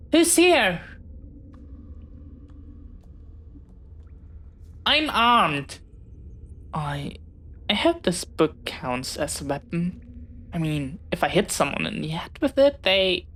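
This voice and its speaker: Slow-paced, earnest young pirate played by a woman. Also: mid-range voice, a bit bright. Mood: startled, mock brave. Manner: dramatic, halting, swinging from theatrical threats to sheepish chatter. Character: young pirate captain's child, bookish, dreamy, jumpy but earnest. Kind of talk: scripted audio roleplay addressed to the listener.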